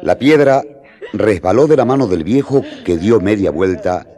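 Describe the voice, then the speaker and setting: A man's voice: on the low side, lively intonation, normal speed, close to an everyday voice. A man narrating a radio drama as a solemn, vivid storyteller. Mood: solemn, suspenseful. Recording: crowd noise behind narration.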